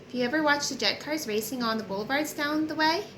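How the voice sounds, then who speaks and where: A chatty, curious teenage girl from a novel, voiced by a woman reading aloud. She is earnest and guileless, asking and musing freely. The sound is echoey with clear noise behind it. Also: high voice, quick pace, a bit bright, pitched above the performer's own voice. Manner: inquisitive, earnest. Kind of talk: audiobook character reading. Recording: roomy echo with clear background noise.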